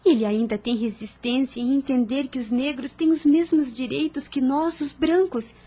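An actress playing a compassionate, grave young plantation lady in a radio drama, earnest and measured, with a Brazilian accent. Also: high-pitched, darkish tone, breathy edge. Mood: pensive, troubled. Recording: music under the voice.

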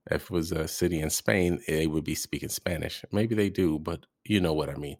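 A man's voice: very deep voice, fairly even intonation, brisk, darkish tone, no echo, studio quality. A man hosting a reaction podcast, rambling and hesitant as he comments casually and laughs often.

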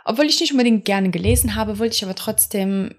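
A woman's voice: medium pitch, fast. A young adult woman, not a native German speaker, talking in a casual, rambling podcast monologue full of fillers and restarts.

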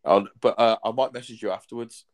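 Brief: thirties male host, lowish voice, loose rambling chat, swears easily. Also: fairly even intonation, fast talker. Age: 30s. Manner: rambling, swears casually, many fillers. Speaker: podcast host. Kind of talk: casual chat.

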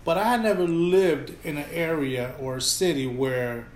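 Animated man in an echoey room. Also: on the high side, moderate pace, slight background noise. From Puerto Rican family, raised in Houston. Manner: animated, keeps saying "you know what I'm saying". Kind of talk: casual chat.

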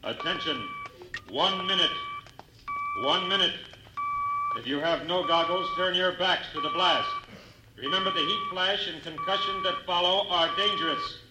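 An actor playing a stern military announcer giving formal, clipped orders in a 1950s radio drama. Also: male voice, high-pitched, huge pitch swings, normal speed. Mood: urgent, official. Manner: formal, clipped public-address delivery. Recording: noisy, echoing 1950s radio broadcast recording.

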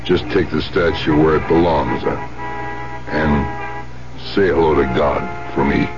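An actor playing a gruff, curt tough man with a soft heart in a 1970s radio drama. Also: a bass voice, steady tone, unhurried. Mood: gruffly tender. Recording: dull lo-fi 1970s radio recording with music.

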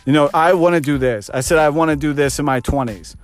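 A man's voice: fairly high pitch, fast talker, smooth, soft background music. A man of thirty, a fitness coach, hosting his own podcast and giving an earnest motivational pep talk that repeats phrases for emphasis. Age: 30s.

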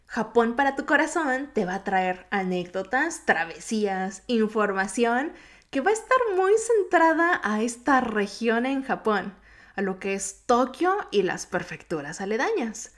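A woman hosts her own podcast alone, talking in a chatty, informal way with a lilting Mexico City accent. Japanese is her first language, and her voice sits a little higher than most. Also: very animated, a little slow, a bit bright. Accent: Mexico City Spanish. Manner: chatty and informal, slightly sing-song. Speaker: podcast host. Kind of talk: solo podcast monologue.

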